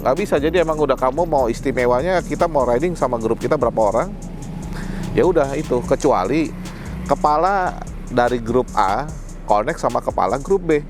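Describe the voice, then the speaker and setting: A man's voice: medium pitch, lively intonation, fairly quick. Man presenting gear over music. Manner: colloquial, explanatory, addressing viewers directly. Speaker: product presenter. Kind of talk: casual product demonstration to camera.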